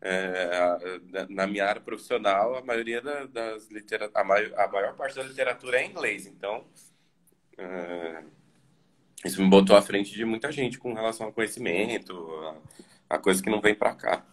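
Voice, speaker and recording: A young man from Brazil, a former student, chatting on a video call in a relaxed, halting way with many fillers. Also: lowish voice. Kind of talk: casual video call chat. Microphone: webcam on a video call.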